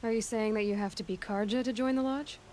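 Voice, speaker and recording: An actress playing a curious, self-assured young huntress in a video game, speaking in an understated, conversational way. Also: female voice, on the high side, fairly even intonation, fast talker, a little mellow, slight background noise, natural voice. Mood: probing, dry. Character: curious, self-assured young female outlander machine hunter. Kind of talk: video game dialogue acting.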